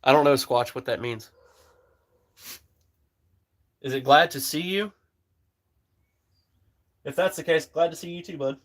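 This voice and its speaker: A man's voice: on the high side, normal speed. A man in his thirties chatting casually on a livestream, rambling with lots of fillers while shooting outdoors. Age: 30s. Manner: rambling, easygoing, filler-heavy. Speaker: livestream host. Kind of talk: casual live-stream chat while target shooting.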